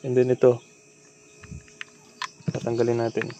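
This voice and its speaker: Flat-toned Filipino man giving a tutorial. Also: medium pitch, measured pace, lo-fi sound. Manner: informal, instructional, talking while working. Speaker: repair tutorial host. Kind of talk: casual hands-on tutorial, improvised narration. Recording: steady background noise with insect-like chirping.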